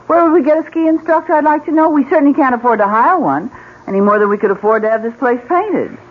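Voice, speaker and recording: A woman's voice: high-pitched, expressive, fast talker, a little hiss or hum. An actress playing a patient, optimistic wife who reasons with her husband, in 1970s radio drama style. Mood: placating. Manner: coaxing, reasoning. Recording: thin, phone-like old radio broadcast.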